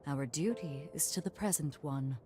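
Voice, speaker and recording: An actress playing a solemn, composed woman who guides a hero in a video game, speaking formally and earnestly in measured phrases. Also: deep voice, mellow, soft background music, natural voice. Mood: earnest, cautioning. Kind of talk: dramatic video game acting.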